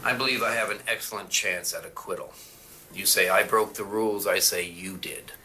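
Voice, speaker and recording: An actor playing a corrupt, defiant veteran defense attorney in an audio drama, with an argumentative, sardonic manner. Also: male voice, fairly low pitch, fairly even intonation, normal speed, sharp tone, a little hiss or hum. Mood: cool, defiant.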